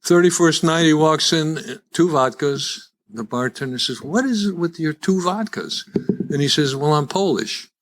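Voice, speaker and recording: A man's voice: fairly high pitch, lively intonation, measured pace. Older Chicago man telling stories live. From Chicago, USA. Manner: wry, folksy, conversational storytelling. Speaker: guest speaker at a recovery meeting. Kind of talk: live personal testimony with jokes. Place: live in-person meeting before an audience.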